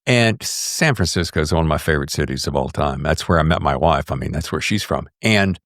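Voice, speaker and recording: A man's voice: very deep voice, animated, fairly quick, fairly bright tone, dry recording, studio quality. A man hosting a podcast, chatting casually in a chummy, effusive, emphatic way with plenty of slang. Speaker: podcast host. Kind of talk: casual interview chat, addressing guest and listeners.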